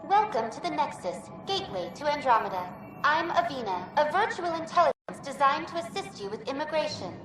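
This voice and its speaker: A female virtual assistant in a sci-fi game, high and very lilting. She is upbeat and formal, like a scripted customer-service greeter, in an echoey space with clear music behind her. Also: sing-song, a little fast, hardly any pauses, recorded from a distance. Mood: upbeat, welcoming. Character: cheerful, helpful female virtual intelligence greeter program. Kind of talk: scripted automated welcome announcement.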